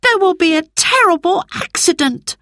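A woman's voice: very high voice, normal speed, no echo, clean studio sound, pitched above the performer's own voice. A woman doing an urgent, eager young boy. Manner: urgent, excited.

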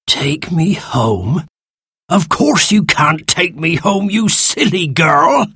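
A narrator putting on a hoarse, grumpy old sand fairy. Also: mid-range voice, big pitch swings, bright, forceful tone, dry recording, studio quality, pitched above the performer's own voice, heavily acted. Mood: grumpy, indignant. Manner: slow, drawn-out, irritable delivery. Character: grumpy ancient male furry sand fairy creature.

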